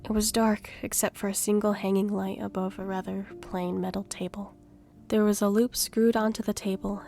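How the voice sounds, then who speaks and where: Woman as a young fugitive narrator, evenly pitched, mellow. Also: lightly acted. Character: young gifted female fugitive, wistful and plain-spoken. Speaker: narrator and lead. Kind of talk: scripted first-person audio drama narration.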